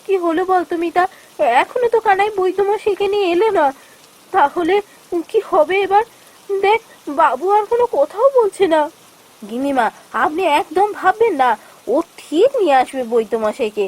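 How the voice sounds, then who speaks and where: A woman's voice: very high-pitched, lively intonation, moderate pace, pauses often, a little mellow. A woman playing an anxious, pleading village woman in a fairy-tale cartoon.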